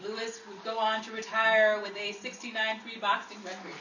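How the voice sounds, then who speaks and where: A woman's voice: fairly high pitch, level tone, a little fast, lo-fi sound. A woman narrating a scripted documentary-style radio program. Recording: roomy, distant mic, noisy background.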